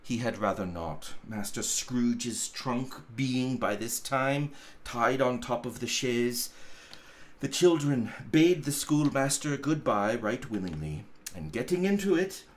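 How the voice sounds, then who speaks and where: A man reading a classic story aloud as narrator, with deliberate, dramatic phrasing. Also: medium pitch, lively intonation.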